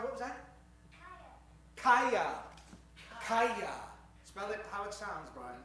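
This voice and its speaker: A man's voice: extremely high pitch, fairly bright tone, slight background noise. A man announcing a live improvised radio show on stage, courteous and mock-formal, in the manner of an old-time showman. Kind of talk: theatrical live emceeing to an audience. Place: stage in front of a live audience. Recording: echoey hall, distant microphone.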